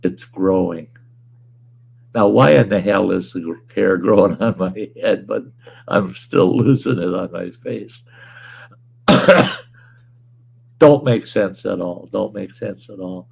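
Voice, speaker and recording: Hoarse man, slow and very gravelly, laid-back rambling streamer host who chuckles and swears casually. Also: low-pitched. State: hoarse, weakened voice. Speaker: livestream host. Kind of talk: casual livestream chat reading viewer comments. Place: at home.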